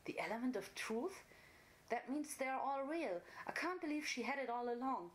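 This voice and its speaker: A woman's voice: high voice, animated, moderate pace, pitched above the performer's own voice. A woman voicing an earnest, curious young unicorn filly, an eager daydreamer in a fantasy story reading. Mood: subdued, questioning.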